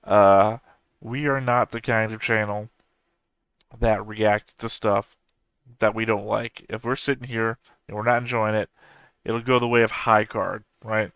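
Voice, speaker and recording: A man in his thirties with a low, gravelly yet smooth voice talks loosely and off the cuff, correcting himself as he goes. His delivery stays fairly flat, with dry humor running through it. The sound is close and dry, with no room around it. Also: unhurried, no echo. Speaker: anime reaction channel host. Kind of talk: casual rambling commentary.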